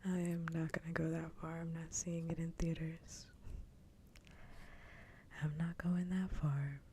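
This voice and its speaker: A woman streamer chatting casually and with opinions in a soft, breathy whisper, close to an ASMR microphone. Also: deep voice, flat delivery, measured pace, slight background noise.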